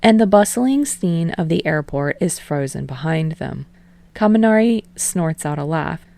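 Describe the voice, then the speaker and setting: A woman narrating a fan-fiction story aloud, in an even, casual reading voice. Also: deep voice, a little slow, creaky, no room sound. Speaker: podfic reader.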